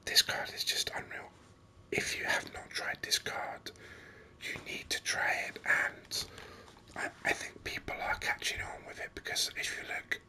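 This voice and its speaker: Gamer doing asmr commentary, all breathy whispering, really slow, kinda rambly and enthusiastic. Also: pushed and bright. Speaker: gaming video host.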